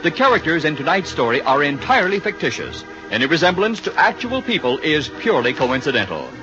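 A man's voice: a little high, very animated, brisk. A man announcing scripted credits in the style of 1950s radio. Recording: muffled 1950 radio broadcast recording. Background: organ music.

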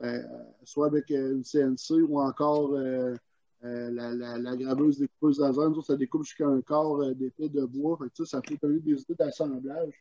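A man with a Quebec French accent hosting a casual video call interview, asking halting questions with lots of fillers. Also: medium pitch, steady tone, dark tone. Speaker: host. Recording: muffled lo-fi call audio.

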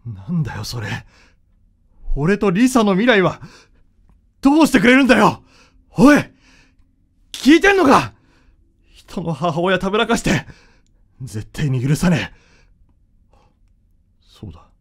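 An actor playing an earnest, intense young doctor, a devoted son, in a radio drama. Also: male voice, sits high, wildly swooping pitch, unhurried, pauses often, husky, heavily acted. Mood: despairing. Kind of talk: Japanese radio drama acting.

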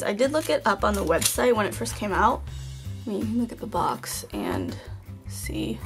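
A fairly high-voiced woman talks sweetly and a bit sleepily as she opens blind boxes at a table indoors. Her voice is slightly hoarse, and soft music plays faintly behind her. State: hoarse from just waking up. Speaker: toy unboxing channel host. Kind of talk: casual unboxing chat. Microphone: off-camera, hands-only tabletop shot.

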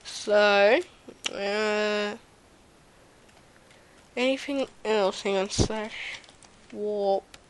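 A young woman with a slight cold, slowly touring her game server with many pauses and fillers. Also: medium pitch, steady tone, a little hiss or hum. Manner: hesitant, many ums, slow and rambling. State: slight cold, runny nose and cough. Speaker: server owner giving a tour. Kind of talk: casual gameplay commentary. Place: home room at a computer. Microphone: desk mic near the keyboard, typing audible.

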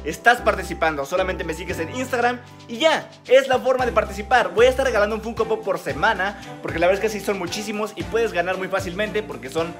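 A young man with a Mexican accent chatting casually as a YouTuber, animated and joking, in a small room at home. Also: sits high, quick pace, hardly any pauses, soft background music. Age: young adult. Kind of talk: casual vlog chat.

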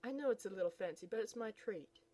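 A woman's voice: mid-range voice, lively intonation, fast, mellow, close to an everyday voice. A woman voicing a pompous, lovesick young man who gushes theatrically over his date. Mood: smitten. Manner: gushing, theatrical.

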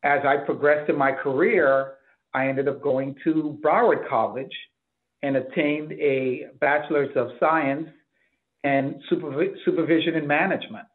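Male executive, middle-aged, from the Bahamas, slightly high pitch, interview answers, thin phone-like call audio. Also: fairly even intonation, unhurried. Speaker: hospital chief executive being interviewed. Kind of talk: interview answers about career. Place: office, indoors. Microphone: computer mic on a video call.

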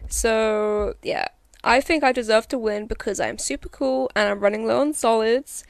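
A woman from Britain giving a deadpan, joking self-introduction as a contestant. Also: on the high side, moderate pace, no echo, studio quality.

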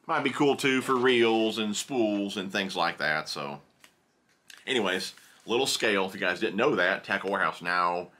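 Deep-voiced, chatty man unboxing fishing gear. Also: bright, forceful tone. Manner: rambling, chatty, thinks aloud. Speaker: fishing tackle vlogger. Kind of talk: casual unboxing haul video. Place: indoor tackle room with pegboard walls.

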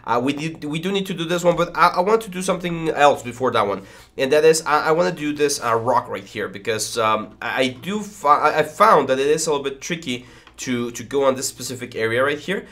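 A man's voice: fairly high pitch, fairly quick, a bit bright. A man in his thirties, a little congested, teaching 3D modelling in a casual tutorial, rambling with lots of fillers and stammers, recorded on a headset mic in a small home studio. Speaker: 3D modelling instructor. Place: small home studio room with foam panels. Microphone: headset with boom mic.

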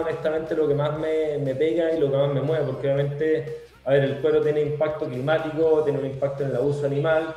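A man's voice: on the high side, mellow. A young man from Venezuela with a Chilean Spanish accent, chatting casually as an interview guest over a video call, full of restarts and slang. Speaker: guest, startup founder. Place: home room on a video call. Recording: very echoey room, faint music.

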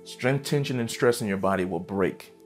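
A man in his thirties, a pastor preaching a sermon from a church stage, fervent and emphatic, jumping from loud bursts to quiet asides. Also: lowish voice, lively intonation, normal speed, faint music behind. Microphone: headset mic.

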